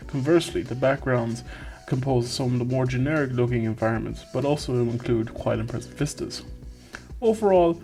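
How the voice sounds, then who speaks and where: A man's voice: mid-range voice, a little fast, gravelly, warm tone. Wry male game reviewer over music. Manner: wry, tongue-in-cheek. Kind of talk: scripted review narration.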